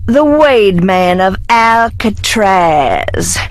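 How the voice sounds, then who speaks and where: A woman's voice: mid-range voice, animated, slight background noise. A woman playing an odd, cagey recluse in a video game, talking in a folksy, cryptic way. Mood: eerie, sardonic. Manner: eccentric, slow, cryptic, folksy.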